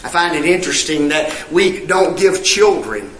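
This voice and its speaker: Emphatic man preaching, high bright voice. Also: expressive, normal speed, reverberant, low-quality recording. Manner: emphatic, rising and falling delivery with rhetorical questions; reads scripture in King James wording. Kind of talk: sermon.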